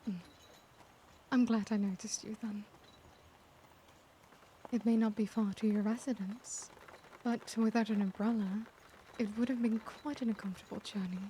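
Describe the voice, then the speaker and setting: A woman voicing an elegant, caring vampire lady in a soft-spoken ASMR roleplay, speaking gently with formal, old-world courtesy. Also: a little high, fairly even intonation, moderate pace, long pauses, a little hiss or hum, lightly acted. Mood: sympathetic. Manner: genteel, gentle, doting, formal wording.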